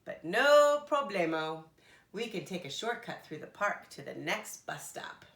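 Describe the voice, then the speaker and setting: An eager, polite furry blue monster from a children's storybook, acted out by a woman, explains things earnestly. The delivery swings up and down with excitable emphasis, in a roomy, echoing space. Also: fairly low pitch, measured pace, vocal fry, exaggerated voice.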